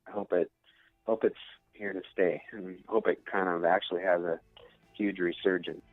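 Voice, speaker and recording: An American man in a casual interview, talking reflectively and haltingly as he searches for his words. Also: deep voice, steady tone, no echo, narrow, tinny audio. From Minnesota, United States. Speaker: musician, interview guest.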